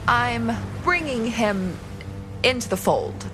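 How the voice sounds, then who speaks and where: An actress playing a composed, haughty woman of a crime family in an audio drama, formal and deliberate. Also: fairly high pitch, big pitch swings, over music.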